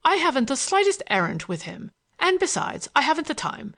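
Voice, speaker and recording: A woman's voice: sits high, big pitch swings, fairly quick, fairly bright tone, pitched above the performer's own voice. A woman voicing an impatient, imperious, scheming businessman with a curt, commanding manner in an audiobook.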